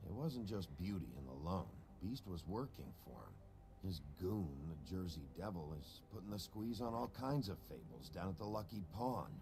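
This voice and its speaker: An actor playing a gruff, weary sheriff in a game, talking in a blunt, curt way, with game music underneath. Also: male voice, low voice, very animated, normal speed, noisy background. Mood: grim.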